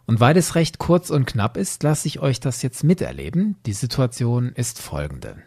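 A man's voice: mid-range voice, very animated, moderate pace, gravelly, dark tone, breathy edge, no room sound, studio quality. A man hosting a podcast, giving scripted, explanatory narration and commentary with rhetorical questions. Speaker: podcast host.

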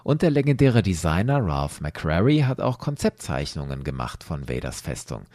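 Male podcast host: low voice, animated intonation, emphatic scripted storytelling, dry close sound. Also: dry recording. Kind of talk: scripted explanatory narration with casual asides.

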